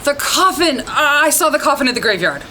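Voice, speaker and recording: An actress playing a brisk ex-ghost-hunter turned eager TV producer, speaking purposefully in a campy comedy sketch. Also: female voice, sits high, big pitch swings, sharp tone, a little hiss or hum, heavily acted.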